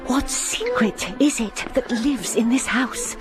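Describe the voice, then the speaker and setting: Casting: a restrained, earnest governess, slightly high voice, music behind. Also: female voice, slow. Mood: troubled. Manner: polite, restrained, steady. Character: composed, earnest young governess. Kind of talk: radio drama acting.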